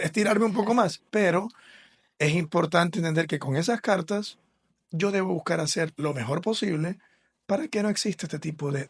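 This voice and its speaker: A man's voice: a little high, slightly rough. A man from Ecuador, a school administrator, explaining his views earnestly in a podcast interview. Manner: earnest, explanatory, with fillers and restarts.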